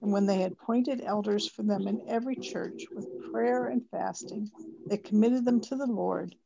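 A woman's voice: medium pitch, normal speed, gravelly, muffled recording. Scratchy-voiced woman reading scripture. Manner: reads scripture aloud carefully. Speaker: class member reading scripture. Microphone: video call webcam.

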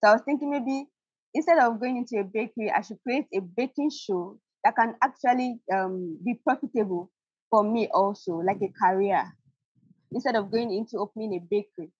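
Woman, hesitant, nervous laughter, husky voice, dry dull call audio. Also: medium pitch, fairly quick, darkish tone, dry recording. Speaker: caller, aspiring baker. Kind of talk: coaching call. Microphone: video call, audio only.

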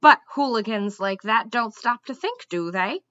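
A woman's voice: fairly high pitch, animated, moderate pace, fairly bright tone, no echo, highs cut off. A woman voicing a bossy, huffy female squirrel who lectures emphatically in a storybook read-aloud. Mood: indignant, scolding.

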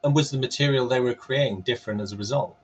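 A man's voice: mid-range voice, quick pace, slightly rough. A man casually moderating a panel on a video call in a tentative, polite way.

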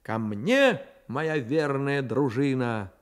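A man's voice: mid-range voice, animated, slow, clear, resonant tone. A man voicing a bossy, suspicious young girl with a stern, sceptical manner in a radio story. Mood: commanding, stern.

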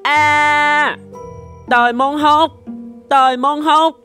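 An actor voicing a cocky, pushy young goat kid in an animated fable, boastful and pleading in a sing-song way. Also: high voice, drawn-out words, soft background music, exaggerated voice. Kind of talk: Vietnamese animated fable dialogue.

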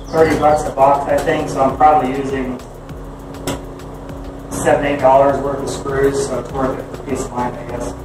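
A man in his thirties explaining his building work in a casual, matter-of-fact way, with a wry edge. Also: mid-range voice. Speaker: homesteading vlogger. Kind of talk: casual explaining while working. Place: unfinished wooden building, partly open to outdoors. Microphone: camera across the room. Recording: echoey room, voice from a distance.

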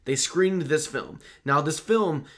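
A young man with a high-pitched voice, co-hosting a casual podcast and telling stories in an animated way, laughing often. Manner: animated storyteller, quick laughs. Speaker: podcast co-host.

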